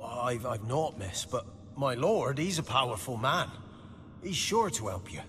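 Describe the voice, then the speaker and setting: An actor playing a humble young peasant in a video game, speaking in a hesitant, rustic, stammering way. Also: male voice, medium pitch, expressive, measured pace, a bit bright, soft background music. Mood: shaken. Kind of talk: dramatic video game acting. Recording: long reverb, faint ambient noise.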